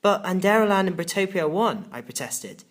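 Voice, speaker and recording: Low-voiced, animated woman storyteller. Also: a little fast. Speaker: audiobook narrator. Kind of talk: expressive first-person children's story narration.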